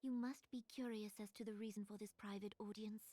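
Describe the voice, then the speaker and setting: An actress playing a regal, resolute young queen in a fantasy game, speaking in a solemn, formal, deliberate manner. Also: mid-range voice, fairly even intonation, warm tone, no room sound, close to an everyday voice. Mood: grave, resolute. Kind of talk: video game cutscene acting.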